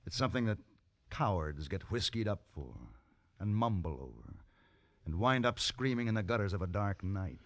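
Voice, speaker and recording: Deep-voiced, steely western gunfighter. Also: male voice, very animated. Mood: quiet menace. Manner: measured, dry, quietly menacing. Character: cool, steely gunfighter for hire, principled and calm. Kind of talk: old time radio western acting.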